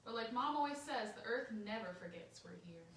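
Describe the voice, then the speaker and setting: A woman voicing an earnest, cheeky young boy earthworm in a storybook, telling his diary in an expressive, childlike way. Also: on the high side, a little fast, close to an everyday voice. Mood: matter-of-fact. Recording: roomy, distant microphone, faint background noise.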